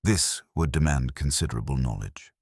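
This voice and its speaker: A man reading the part of a fastidious detective, speaking in a precise, probing, formal way. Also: extremely low pitch, lively intonation, breathy edge, no room sound, studio quality, heavily acted. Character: fastidious Belgian male detective, middle-aged.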